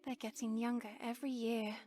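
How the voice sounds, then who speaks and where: A welcoming woman with a sly streak, acted by a woman for a visual novel. Her voice is high, airy and courteous, sweet on the surface. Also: little pitch movement, brisk, mellow, natural voice. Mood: sweetly wry. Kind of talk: visual novel character acting.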